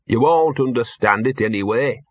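A man's voice: mid-range voice, expressive, measured pace, breathy edge, no echo, phone-quality sound, pitched above the performer's own voice. A man voicing a mournful, know-it-all talking dog in an audiobook, muttering and whining in colloquial speech. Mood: grudging, grumbling. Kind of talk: audiobook character voice.

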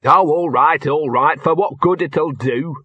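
A man's voice: medium pitch, expressive, unhurried, fairly bright tone, slightly rough, no room sound, lo-fi sound, pitched above the performer's own voice. A man voicing a mournful, know-it-all talking dog in an audiobook, muttering and whining in colloquial speech. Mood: grudging, grumbling. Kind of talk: audiobook character voice.

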